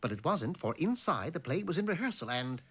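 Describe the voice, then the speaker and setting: A scholarly cartoon dog, pompous and precise. His fairly high voice moves expressively through wordy narration, on a dull old soundtrack. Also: very animated, a little fast, fairly bright tone, husky, full character voice. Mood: smug.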